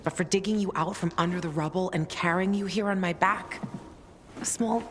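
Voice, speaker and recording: A woman's voice: fairly low pitch, normal speed, a little hiss or hum, lightly acted. An actress playing a tough, sarcastic young woman survivor in a video game, dry in banter and frantic when calling out. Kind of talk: video game cutscene acting. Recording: game audio with loud ambience.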